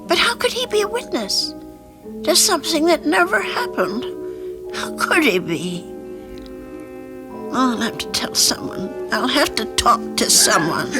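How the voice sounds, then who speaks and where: High-pitched, fretful, conscience-stricken lady. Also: full character voice. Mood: worried. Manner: fretful, earnest, halting. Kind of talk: radio drama acting. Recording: faintly noisy archival radio recording.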